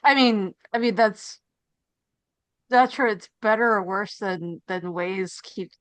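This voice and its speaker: Woman chiming in with quick quips. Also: mid-range voice, a bit bright, no echo. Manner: short reactive interjections and quips. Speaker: podcast co-host. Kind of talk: casual chat.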